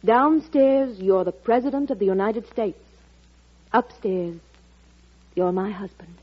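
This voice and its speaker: An actress playing a devoted, witty young bride in 1940s radio drama style, affectionate and self-assured. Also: female voice, mid-range voice, measured pace, lightly acted. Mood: teasing affection. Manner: affectionate, teasing. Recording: muffled old radio broadcast.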